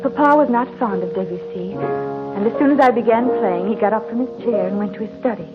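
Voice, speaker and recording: Woman acting a wistful genteel young lady in a 1940s radio play, measured and melancholy, very tinny sound, music behind. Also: medium pitch, natural voice. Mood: dreamy, lonely. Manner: formal, measured, dramatic storytelling. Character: sheltered, wistful 21-year-old heiress, genteel and melancholy.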